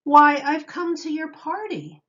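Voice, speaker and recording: A woman's voice: high-pitched, unhurried, a bit bright, muffled recording, pitched above the performer's own voice. A woman voicing a dapper male lion in a storybook, eager and indignant, with emphatic exclamations. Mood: eager, polite.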